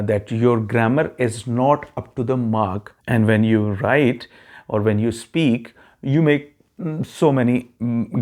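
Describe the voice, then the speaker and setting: A man's voice: medium pitch, a little mellow. A man, self-described as not very fluent in English with a neutral accent, explaining a test strategy to his viewers in a casual talk with frequent okay check-ins. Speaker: YouTube channel host giving immigration advice.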